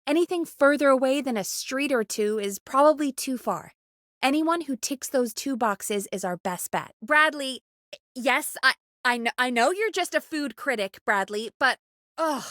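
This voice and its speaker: A woman's voice: sits high, animated, normal speed, a bit bright, no echo, clean studio sound. A woman playing an earnest, urgent radio producer in a video game, conversational and pressing in naturalistic dialogue. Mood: brisk, urgent, then pleading, frustrated.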